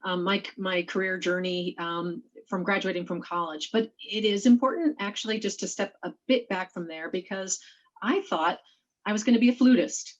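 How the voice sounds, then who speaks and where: A woman speaking on a panel, starting hesitantly with pauses and restarts. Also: medium pitch, muffled recording. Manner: hesitant, many fillers and restarts. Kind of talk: panel talk.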